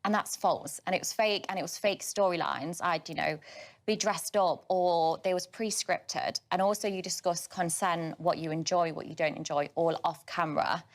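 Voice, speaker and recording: A woman's voice: fairly low pitch, steady tone, moderate pace. A woman, answering interview questions in a matter-of-fact way. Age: 20s.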